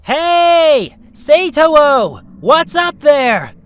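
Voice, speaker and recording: A woman's voice: high-pitched, big pitch swings, extremely slow, no room sound, heavily acted. A woman playing a blunt, anxious young adventurer in an amateur audio drama. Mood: calling out.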